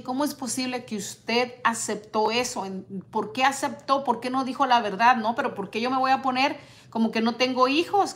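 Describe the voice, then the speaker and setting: A woman in her forties, a vlogger talking on a home livestream and reading out viewer comments in an earnest, indignant but measured way. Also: on the high side, fairly bright tone. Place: living room at home.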